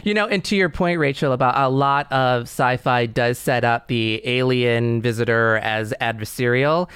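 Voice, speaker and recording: A man's voice: medium pitch, moderate pace, fairly bright tone, clean tone, no echo, clean studio sound. A man hosting a podcast in an enthusiastic, casual conversational style. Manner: enthusiastic, effusive. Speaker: podcast co-host.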